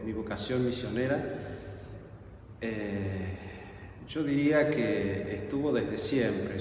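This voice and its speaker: A man from Argentina, a Franciscan friar giving a thoughtful personal testimony, pausing often and correcting himself. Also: lowish voice, a little mellow. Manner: thoughtful, hesitant, many filler pauses and self-corrections. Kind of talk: personal testimony answering a question. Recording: echoey hall, distant mic, faint noise.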